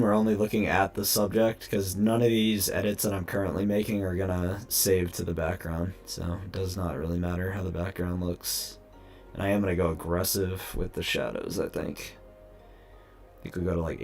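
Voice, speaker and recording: A young man with a hoarse, gravelly voice chats casually through a live stream, explaining each step of his photo editing and answering viewers. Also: low-pitched, steady tone, moderate pace, soft background music. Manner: chatty, talks through each step, greets chat. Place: home room at a desk. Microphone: headset.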